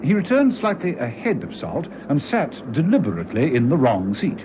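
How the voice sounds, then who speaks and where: A man's voice: fairly high pitch, wildly swooping pitch, normal speed, noisy background. A man narrating a vintage radio serial in a dramatic, scripted style. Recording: dull lo-fi old radio recording. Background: music.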